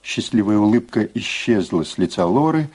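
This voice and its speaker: A man's voice: on the low side, expressive, normal speed, a little mellow, breathy edge. A man narrating a scripted story in a dramatic audio play style.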